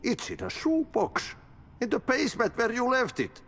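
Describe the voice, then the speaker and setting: An actor playing an older Finnish janitor in a video game, speaking in folksy, cryptic riddles with a Finnish accent. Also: male voice, very high-pitched, big pitch swings, normal speed, vocal fry, a bit bright, heavily acted. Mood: playful, cryptic. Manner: folksy riddles, sing-song drawl. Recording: long cavernous reverb.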